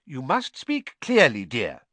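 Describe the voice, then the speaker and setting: A fretful, fussing middle-aged witch mother, put on by a male reader, her voice pitched well up and lilting as she coaxes and frets. The sound is close and dry, with no room around it, for a storybook reading. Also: huge pitch swings, slow pace, fairly bright tone, dry recording, exaggerated voice. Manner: fretful, coaxing, high and lilting.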